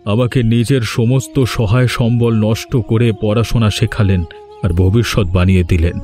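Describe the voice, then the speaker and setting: A man's voice: on the low side, fairly even intonation, moderate pace, mellow, faint music behind, close to an everyday voice. A man voicing a remorseful, humbled rich village landlord in a cartoon, speaking solemnly and confessionally. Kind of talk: Bengali cartoon voice acting.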